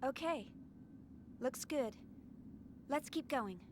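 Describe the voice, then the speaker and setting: A woman's voice: high-pitched, lively intonation, slow, background noise. A woman voicing a young female chief engineer who gives short, decisive orders in a game cutscene. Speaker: game character. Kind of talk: dubbed game cutscene acting.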